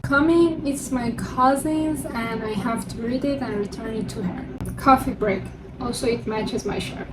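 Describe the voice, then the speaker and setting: A woman's voice: a little high, measured pace. A young woman whose first language is not English, chatting upbeat in a casual vlog as she presents her books one by one. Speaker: book vlogger. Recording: distant mic with construction noise. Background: construction noise from a neighbor.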